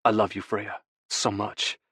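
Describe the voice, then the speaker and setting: Man acting a quiet, devoted husband, very dry sound. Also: lowish voice, level tone, measured pace, husky, no room sound. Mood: tender. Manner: quiet, intimate, affectionate. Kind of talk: audiobook dialogue.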